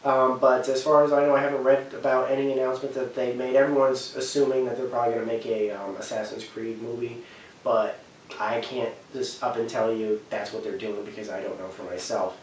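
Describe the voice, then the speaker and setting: A man with a mid-pitched voice recorded well back from the microphone in a very echoey room. He delivers opinionated, unscripted commentary, often doubling back and repeating himself as he rambles toward his point. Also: little pitch movement, distant mic, slight background noise. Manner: halting, repetitive, rambling delivery. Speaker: video commentator.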